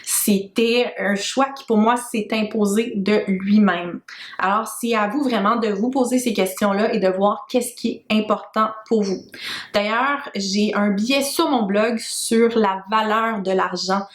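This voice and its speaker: A woman's voice: mid-range voice, unhurried, echoey room. A woman in her thirties with a Québécois French accent, chatting frankly to camera in a casual vlog, with plenty of fillers. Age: 30s. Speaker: freelancer vlogger. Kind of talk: casual talking-head vlog. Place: home room with makeshift lighting.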